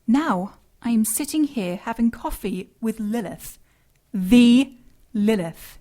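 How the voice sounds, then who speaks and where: A woman's voice: fairly high pitch, lively intonation, slow pace, mellow. A woman playing an earnest, spirited sidekick in an audio drama, questioning and easily flustered or indignant. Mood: overwhelmed.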